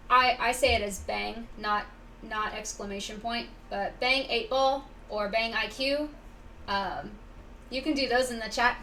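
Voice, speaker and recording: A woman livestreaming a game, chatting casually to viewers in a halting, musing, informal way. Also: a little high, a bit bright. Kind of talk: casual chat to stream viewers. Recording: slightly distant mic, faint background noise.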